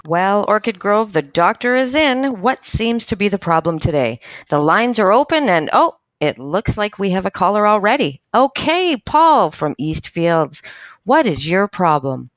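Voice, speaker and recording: A woman's voice: mid-range voice, sing-song, measured pace, no room sound. An actress playing a sarcastic female radio call-in host with a sing-song manner in a radio drama. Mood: mocking.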